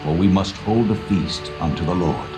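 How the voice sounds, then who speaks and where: Man acting resolute prophet, dignified, low pitch, firm delivery, scripture drama, music behind. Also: moderate pace, close to an everyday voice. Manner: firm, declarative. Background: prominent background music.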